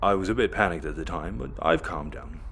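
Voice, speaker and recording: An actor playing a florid, pompous braggart villain who turns nervous and grovelling, in a sci-fi audio drama. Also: male voice, deep voice, animated, a little fast, gravelly, a bit bright, slight background noise, full character voice. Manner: florid, stammering when nervous.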